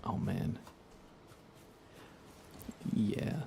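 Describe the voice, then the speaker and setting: A man live streaming a game in a casual ASMR style, chatting softly with viewers in a hushed voice over background noise. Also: extremely slow.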